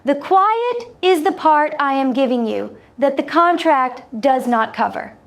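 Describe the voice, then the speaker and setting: A woman voicing an alien trader in a science-fiction story, measured, precise and quietly merciful, with formal legalistic phrasing. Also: high-pitched, lively intonation. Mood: cool, exacting.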